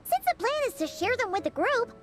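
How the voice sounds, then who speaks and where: A woman's voice: very high-pitched, big pitch swings, brisk. A woman voicing a small floating fairy companion with a perky, chatty manner. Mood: planning. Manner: bubbly, upbeat. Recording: game audio with music behind.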